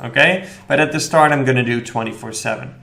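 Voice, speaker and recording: A young man talks through software settings from his desk, at an even, mid-range pitch. He explains each option patiently and checks in often with the listener. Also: a little fast, creaky. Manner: explanatory, steady, with frequent "okay" and "all right" check-ins. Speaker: affiliate marketing instructor. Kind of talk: screen-recorded tutorial walkthrough. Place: indoor room at a desk.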